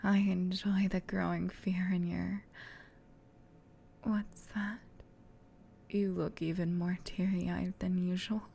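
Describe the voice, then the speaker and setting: A woman performs a female sleep paralysis demon in an ASMR roleplay. She whispers closely in a breathy, intimate way, slow and with little swing in pitch. A low noisy rumble sits behind the voice. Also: little pitch movement. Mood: mocking, gloating. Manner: slow, teasing, hushed, intimate. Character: female sleep paralysis demon, menacing tease turned flustered gentle comforter.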